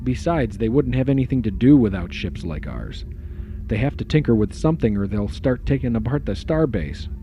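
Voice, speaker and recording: A man's voice: mid-range voice, lively intonation, moderate pace, warm tone, close to an everyday voice. A man playing an easygoing, lightly joking starship officer in an audio drama. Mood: amused, collegial. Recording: music behind the voice.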